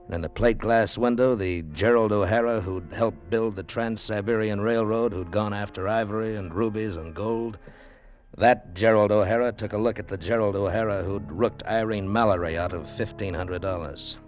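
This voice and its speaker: A male actor as a cynical adventurer from a vintage radio thriller. His deep voice is world-weary and wry, delivered in hard-boiled style. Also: normal speed, faint music behind, lightly acted. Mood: brooding narration. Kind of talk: 1940s radio acting with first-person narration.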